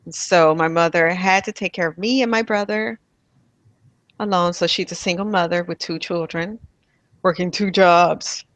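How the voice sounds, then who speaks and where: A woman from Miami being interviewed about her childhood, speaking softly and thoughtfully with pauses and light laughs. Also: fairly low pitch, no room sound. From Miami, USA. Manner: soft-spoken, thoughtful, with pauses and fillers. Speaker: interviewee, spiritual healer. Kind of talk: reflective personal interview.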